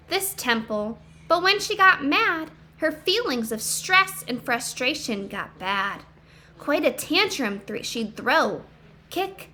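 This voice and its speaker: A woman reading a picture book aloud to children in an expressive, emphatic way, pausing to explain and ask questions. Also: high-pitched.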